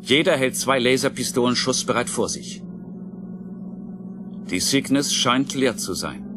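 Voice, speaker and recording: Male narrator, mid-pitched, for sci-fi audio drama; suspenseful, deliberate, clear music bed. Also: lively intonation.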